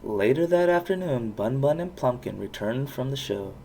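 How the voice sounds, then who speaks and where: A parent reading a children's storybook aloud with expressive narration. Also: medium pitch, moderate pace, gravelly, a little hiss or hum.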